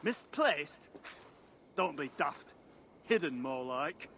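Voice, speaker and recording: An actor playing a down-to-earth dwarf in a video game, chatty, brusque and plain-spoken. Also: male voice, on the high side, expressive, slow pace, bright, forceful tone, full character voice. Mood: scoffing. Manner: plain-spoken, matter-of-fact, scoffing. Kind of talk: video game cutscene acting. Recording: clear background ambient noise.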